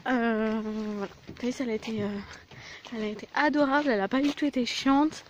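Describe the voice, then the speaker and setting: Young woman, high-pitched, stuffy cold, hesitant fillers, casual vlog. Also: fairly even intonation, a little fast, slight background noise. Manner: hesitant, many "euh" fillers, apologetic. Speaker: family vlogger. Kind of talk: casual chatty vlog to camera.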